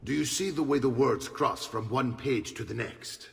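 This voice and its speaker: An actor playing a solemn older Italian uncle and assassin mentor in a game, with an Italian accent and a weighty, paternal storytelling manner. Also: male voice, medium pitch, moderate pace, vocal fry, echoey room, in a large hall, distant mic, lightly acted. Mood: mysterious, grave.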